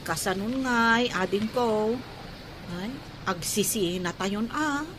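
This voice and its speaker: A woman's voice: medium pitch, slow pace, music in the background, close to an everyday voice. A woman playing a protective, pleading housemaid, a big-sister figure, exclaiming plaintively in a radio comedy-drama. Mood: scolding, protective.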